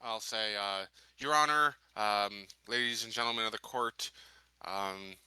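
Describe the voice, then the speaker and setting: Very bright, crisp male game player. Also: on the low side, a little slow, no echo. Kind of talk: improvised in-character speech and casual chat.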